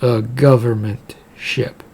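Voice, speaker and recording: A man's voice: mid-range voice, steady tone, slow pace, mellow, husky. A man voicing a scheming, well-connected businessman in a story, wry and confident. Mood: gleeful.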